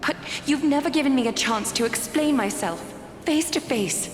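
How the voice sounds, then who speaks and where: A woman playing an ancient, dignified and regretful schemer in a video game, speaking in a measured, solemn, formal way. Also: high voice, natural voice. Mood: regretful, earnest. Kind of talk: video game character acting. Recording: echoey hall reverb, music behind.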